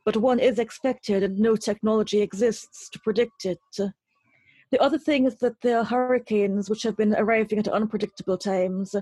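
A woman from Trinidad, answers interview questions in a reflective, literary way. Her voice sits at a middle pitch with some huskiness, and she chooses phrases deliberately. The sound is dry, with hardly any room to it. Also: steady tone, dark tone, slightly rough, no echo. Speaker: interview guest, poet and writer.

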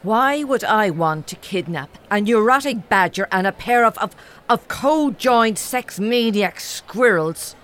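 An actress playing an exasperated, sceptical young Irish woman student, sharp-tongued and incredulous, in a comedy audio drama. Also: medium pitch, expressive, unhurried. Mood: indignant.

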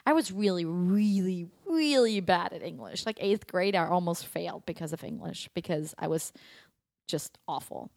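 A woman's voice: on the low side, lively intonation, moderate pace, gravelly, darkish tone, no room sound, clean studio sound. A young adult woman from Germany, a native German speaker with a mostly American accent, chatting casually as an actress guest, with frequent fillers and laughs. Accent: American with slight German. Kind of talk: casual interview chat.

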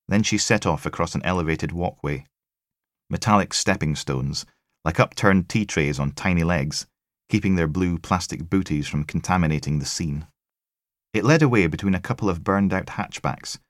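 A man's voice: low voice, no room sound, clean studio sound. A man reading a crime thriller as scripted audiobook narration.

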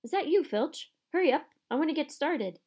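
Woman voicing a gruff, growling male gamekeeper. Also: on the high side, a little fast, darkish tone. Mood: impatient. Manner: growling, rustic dialect with dropped word endings. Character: gruff, burly male gamekeeper, fierce but protective. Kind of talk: audiobook character voice.

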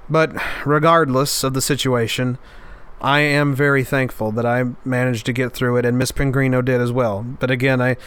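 A man with a nasal, congested voice, still stuffed up after an illness, delivers a reflective podcast monologue. He speaks earnestly and from the heart at a middle pitch. His voice stays smooth and resonant. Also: fairly bright tone. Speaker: podcast host.

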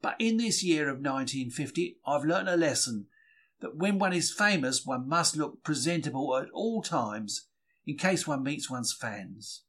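A man giving an expressive, wry first-person reading of a diary. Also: fairly high pitch, measured pace. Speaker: diary reader.

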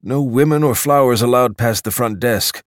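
A man's voice: mid-range voice, moderate pace, no echo, studio quality, pitched above the performer's own voice, close to an everyday voice. A man voicing a stiff, formal male club desk attendant, prim and proper.

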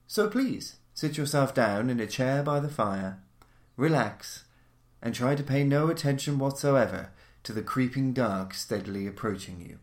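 A man reading gothic horror stories as a podcast narrator, with a formal, measured, dramatic storytelling delivery. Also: mid-range voice. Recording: roomy, echoing voice recording.

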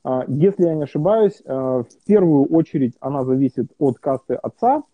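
A man's voice: fairly high pitch, expressive, moderate pace, breathy edge, dry recording. Hesitant man on a tinny line. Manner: hesitant, many fillers and restarts. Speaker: interview guest. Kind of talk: casual conversational interview.

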